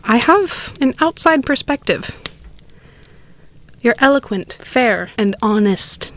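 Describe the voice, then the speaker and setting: A woman's voice: on the high side, expressive, slow pace. A woman playing a proud, persuasive mother urging her grown son on, in an audio drama.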